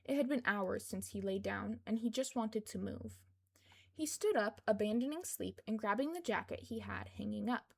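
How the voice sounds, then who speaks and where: Female podfic reader, scripted prose narration, medium pitch with noticeable fry. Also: normal speed, a little mellow. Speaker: fan fiction podfic reader.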